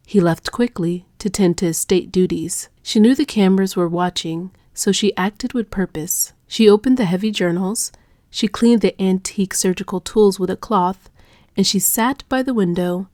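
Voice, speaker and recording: A woman narrating a scripted dark romance audiobook in a measured, hushed, dramatic manner. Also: lowish voice, dry recording, studio quality.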